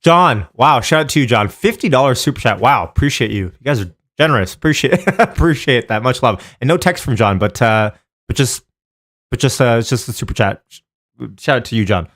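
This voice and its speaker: A man's voice: mid-range voice, animated, fairly quick, a bit bright. A man hosting a live stream, chatting informally with viewers by name and giving casual commentary.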